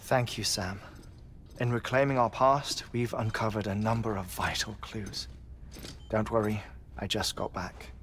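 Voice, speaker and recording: An actor playing a grave, thoughtful researcher in a video game, explaining in a measured way. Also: male voice, fairly low pitch, fairly even intonation, a bit bright, slight background noise. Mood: grave, confiding. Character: grave, thoughtful male researcher confiding his theories. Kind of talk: video game cutscene acting.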